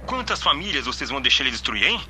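A man's voice: medium pitch, big pitch swings, racing, bright, forceful tone, a little hiss or hum. A man playing a bitter, grieving middle-aged Brazilian father in an audio drama. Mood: angry, distraught.

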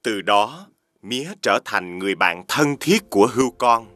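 A man reading scripted storybook narration for an animated folk tale. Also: on the low side, moderate pace.

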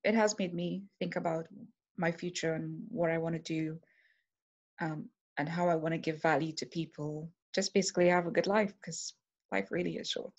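A woman in her forties with a Trinidadian accent, speaking in a lowish, grounded voice. She talks earnestly and thoughtfully, seated at home and recorded through a laptop camera mic at close range. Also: steady tone, moderate pace, muffled recording. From Trinidad and Tobago. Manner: earnest, reflective, gestures while talking. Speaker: interviewee sharing her story. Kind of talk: personal testimony to camera. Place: home room, seated on a sofa.

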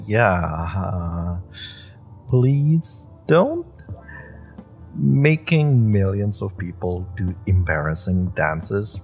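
A man playing a nervous, nerdy young superhero in a roleplay audio drama, halting and stammering as he earnestly talks. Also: low-pitched, vocal fry, a little mellow, exaggerated voice.

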